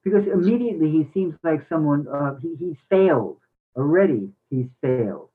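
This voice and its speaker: A man offering measured, somewhat hesitant critical feedback in a discussion over a tinny connection. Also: a little high, animated, slightly rough. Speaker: workshop participant giving critique.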